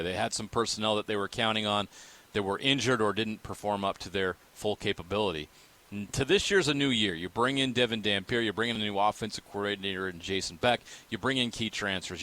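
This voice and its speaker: A man's voice: lowish voice, brisk, pushed and bright. An American man co-hosting sports talk radio, giving opinions in emphatic, repetitive phrases.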